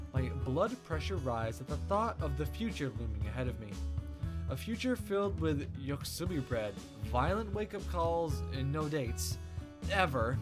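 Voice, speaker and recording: Male playthrough host, animated higher voice, casual expressive read-aloud, music clearly behind. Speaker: let's play host. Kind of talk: casual visual novel playthrough, reading aloud. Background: game music.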